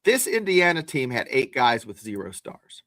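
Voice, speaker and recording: A man's voice: medium pitch, very animated, moderate pace, fairly bright tone, dry recording, studio quality. Folksy man co-hosting a sports podcast. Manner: folksy, explanatory. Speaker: podcast co-host. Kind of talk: casual sports analysis.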